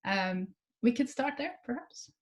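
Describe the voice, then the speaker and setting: A woman professor hosting a public conversation, asking questions gently and hesitantly with many fillers. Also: a little high, animated, unhurried. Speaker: professor and interviewer.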